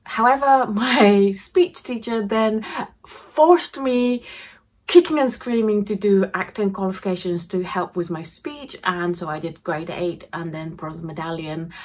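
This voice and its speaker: A Japanese-born woman, chatting to camera in an animated way, often laughing, in her home craft room. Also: mid-range voice, measured pace. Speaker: craft YouTuber. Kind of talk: casual talk to camera. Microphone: camera facing her at desk distance.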